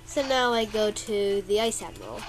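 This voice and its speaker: A congested young woman gives casual gameplay commentary in a middle-pitched voice, sounding sniffly throughout. She rambles from thought to thought and playfully whines whenever her character takes a hit. Loud game music plays behind her. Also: expressive, normal speed. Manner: rambling and chatty, mock-complaining playfully when hit. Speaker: gameplay video creator.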